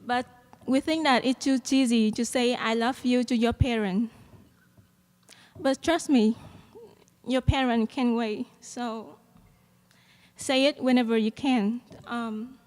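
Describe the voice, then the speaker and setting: A young Vietnamese-accented woman speaks earnestly at a podium microphone and fills her pauses with soft "um"s. Her voice sits fairly high. It rings out with a long reverb through a large hall. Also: steady tone. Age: young adult. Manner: earnest, with frequent "um" fillers and light laughs. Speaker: student speaker at a graduation. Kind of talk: commencement speech.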